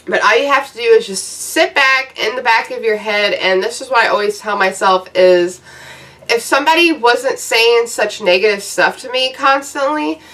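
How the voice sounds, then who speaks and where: A woman's voice: on the high side, sharp tone, far from the mic. A woman in her thirties giving casual, blunt, profane advice to her viewers in a loose, rambling vlog rant. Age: 30s. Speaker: YouTuber.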